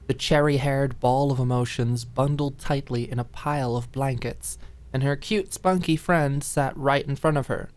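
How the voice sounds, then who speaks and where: A woman's voice: extremely low pitch, darkish tone. A woman reading scripted story narration for an ASMR-style dramatic audiobook.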